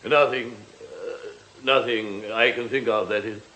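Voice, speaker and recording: An actor playing a sanctimonious, evasive cult priest in a radio drama, speaking in a lofty, pompous, unctuous manner. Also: male voice, fairly low pitch, fairly even intonation, measured pace, bright, forceful tone, a little hiss or hum, low-quality recording. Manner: pompous, lofty, pious delivery.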